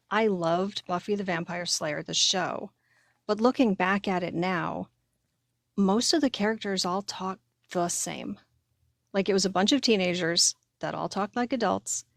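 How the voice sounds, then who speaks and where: A woman's voice: on the low side, creaky, dry recording, studio quality. A woman co-hosting a casual livestream conversation, chatting informally and giving her opinions. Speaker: co-host.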